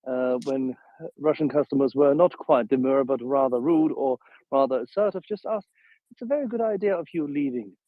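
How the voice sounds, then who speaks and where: A man based in northeastern Europe speaking in a measured, probing way with hesitant fillers. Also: medium pitch, no room sound. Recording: tinny phone-like line, dry.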